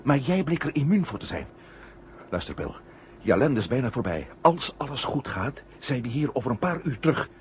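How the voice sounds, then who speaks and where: An actor playing a reassuring, insistent astronaut commander in a 1970 radio drama. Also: male voice, mid-range voice, very animated, brisk. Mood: reassuring, urgent. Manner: reassuring, insistent, repeats himself. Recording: dull, noisy 1970 radio drama recording.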